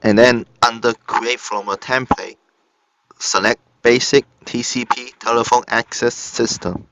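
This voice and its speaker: A man narrating a step-by-step software tutorial in short, halting instructional phrases. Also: mid-range voice, fairly even intonation, gravelly. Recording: dull lo-fi computer recording. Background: screen-recorded tutorial voice-over.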